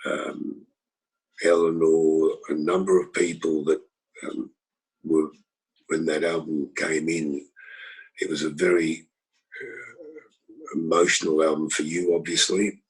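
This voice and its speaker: A husky-voiced man reminiscing in a rambling conversational way, with many pauses and fillers. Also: rumbling low, low-quality recording. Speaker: record label executive.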